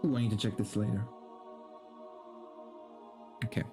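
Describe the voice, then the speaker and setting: A man's voice: fairly low pitch, fairly even intonation, moderate pace. A man streaming, chatting in a rambling, informal way that is loose with swearing, and reading a story aloud. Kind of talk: casual livestream chat and dramatic story reading. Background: background game music.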